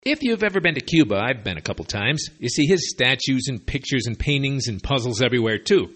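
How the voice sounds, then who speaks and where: A man with a slight lisp delivers sardonic, rambling commentary in a lively, swooping voice that rises and falls through every sentence. He speaks as a podcast host recording on borrowed gear away from his usual studio, and he sounds casual and opinionated as he wanders off on tangents. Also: mid-range voice, a little fast. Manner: sardonic, digressive, conversational. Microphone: makeshift borrowed equipment.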